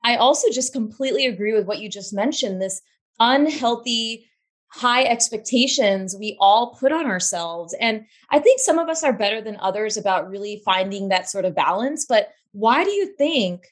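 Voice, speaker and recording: A woman's voice: a little high, animated, normal speed. A woman hosting a conversational podcast interview in an enthusiastic, effusive manner. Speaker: podcast host.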